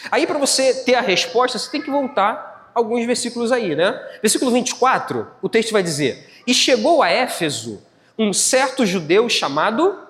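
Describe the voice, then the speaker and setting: A man's voice: extremely high pitch, sing-song, normal speed, fairly bright tone. Brazilian male preacher in a reverberant hall. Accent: Brazilian Portuguese. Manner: didactic, repeats phrases, puts check-in questions to the congregation. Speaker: pastor preaching. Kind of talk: church sermon with Bible exposition. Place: church hall, live service.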